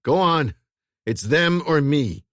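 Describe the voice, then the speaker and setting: A man's voice: medium pitch, expressive, moderate pace, no echo, studio quality, natural voice. A man voicing a trapped man who gives firm, clipped orders. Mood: commanding. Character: trapped man, calm and commanding under danger.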